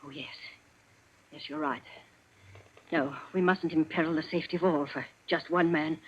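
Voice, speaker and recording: Imperious woman criminal, demanding, melodramatic, husky, lo-fi vintage radio sound. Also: sits low, fairly even intonation, normal speed. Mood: resigned. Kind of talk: old radio melodrama acting. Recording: dull, lo-fi old radio recording.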